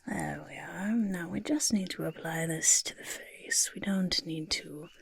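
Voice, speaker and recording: A woman voicing a cheerful, mischievous goblin potion-maker in a soft, husky ASMR half-whisper, fussy and chattering. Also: lowish voice, unhurried, full character voice. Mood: soothing, instructive. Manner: fussy, chattering, repeats words. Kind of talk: soft-spoken ASMR roleplay.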